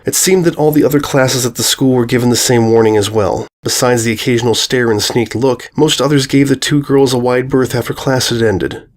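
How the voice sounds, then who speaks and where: A man's voice: medium pitch, steady tone, a little fast, few pauses. Male storybook narrator.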